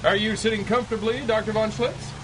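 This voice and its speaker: Man acting an unruffled pilot over loud engine drone. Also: sits high, flat delivery, quick pace, noisy background, natural voice. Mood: coolly mocking. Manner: unruffled, wry, courteous. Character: smooth-talking, daring male pilot adventurer, coolly ruthless. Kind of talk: 1930s-set radio adventure acting.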